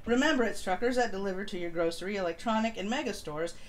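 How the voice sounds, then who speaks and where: A woman's voice: mid-range voice, quick pace. A woman hosting a casual live stream, reading announcements and chatting informally. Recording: noisy home livestream audio.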